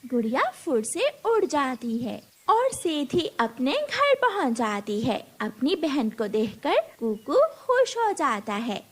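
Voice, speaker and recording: A woman narrates a scripted animal tale for children. Her voice is strikingly high and moves through a wide, animated range of pitch. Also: takes it slowly, few pauses. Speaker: story narrator.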